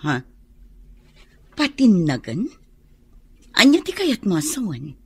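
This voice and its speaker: A woman acting a concerned, encouraging older mentor with a husky voice in a radio drama. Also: mid-range voice, huge pitch swings, slow, no echo, heavily acted. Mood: probing, encouraging.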